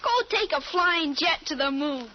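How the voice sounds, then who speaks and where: A woman's voice: high-pitched, moderate pace, lightly acted. A woman playing a bookish, preoccupied thirteen-year-old boy in an old-time radio drama. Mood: earnest. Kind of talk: 1940s radio acting. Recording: noisy muffled old radio recording.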